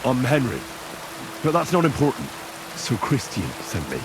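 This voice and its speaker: An actor playing a young medieval man calmly and firmly questioning suspects in a video game. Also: mid-range voice, moderate pace, noisy background. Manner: calm, firm interrogating.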